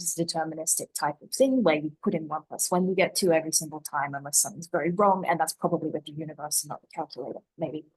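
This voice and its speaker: A woman speaking as an expert panelist, explaining things off the cuff in a measured, articulate way. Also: deep voice, a little mellow, husky, low-quality recording. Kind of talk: spontaneous explanation on a conference panel.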